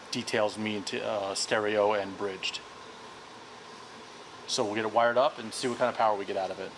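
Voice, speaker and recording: A man's voice: mid-range voice, noisy background. A man giving an informal technical product demonstration. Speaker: product demonstrator for an audio brand.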